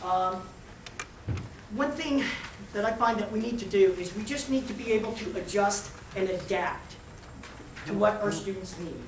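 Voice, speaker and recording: A woman presenter who is unwell and a little raspy, lecturing teachers at a workshop with jokes and direct asides to the group. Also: medium pitch, normal speed. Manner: joking, addresses the group directly. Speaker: training presenter. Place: school meeting room. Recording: roomy, distant mic, clear noise.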